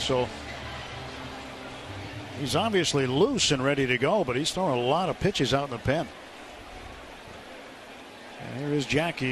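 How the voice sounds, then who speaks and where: A man with a fairly high voice calls a ballgame live on the radio, with clear stadium noise behind him. He speaks in measured, short phrases and leaves pauses between them. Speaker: play-by-play baseball announcer. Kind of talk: live radio sports commentary. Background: outdoor ballpark ambience.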